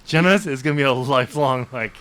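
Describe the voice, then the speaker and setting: A man's voice: mid-range voice, quick pace, fairly bright tone, clean tone. A man co-hosting a podcast, chatting casually about a story and often groping for words. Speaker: podcast co-host. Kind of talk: casual chat discussing a story.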